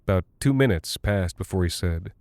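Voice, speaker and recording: Weary American military pilot. Lowish, dry close sound, folksy and rattled, halting confessional monologue for audio drama. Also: male voice, lively intonation, gravelly, a little mellow, no room sound. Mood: uneasy, confiding. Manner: halting, confiding, colloquial. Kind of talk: first-person audio drama monologue, audio log.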